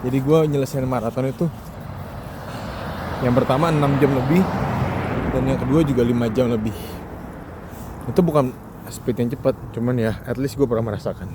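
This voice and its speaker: Clear outdoor traffic noise surrounds a youngish man. He speaks at an ordinary mid pitch, talking to listeners in a loose, conversational way. It is a casual solo ramble, self-deprecating and full of rhetorical questions. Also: normal speed, pauses often. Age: 20s or 30s. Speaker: solo podcast host. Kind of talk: casual rambling monologue while walking. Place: outdoors, walking along a street. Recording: outdoor urban noise, passing vehicles. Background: clear traffic and ambient noise.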